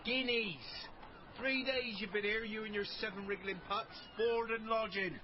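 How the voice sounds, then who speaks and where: A blunt, high-pitched innkeeper acted by a man, scornful and hard-nosed. The period radio scene is slightly dull, with clear noise around the voice. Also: fairly even intonation, normal speed, natural voice. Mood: scornful, threatening. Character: hard-nosed, threatening eighteenth-century innkeeper demanding payment. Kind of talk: radio period drama acting.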